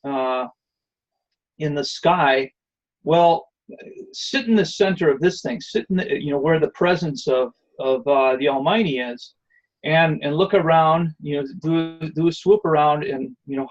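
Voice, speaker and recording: A man's voice: fairly high pitch, a little slow, lo-fi sound. A man giving an online presentation and answering questions, rambling with many fillers and restarts. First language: not Hebrew.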